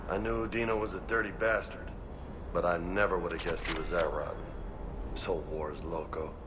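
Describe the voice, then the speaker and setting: An actor playing a brash, jaded soldier in a video game, grumbling in an informal, slangy way. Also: male voice, low voice, steady tone, a little fast, lightly acted. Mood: exasperated. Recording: clear ambient noise.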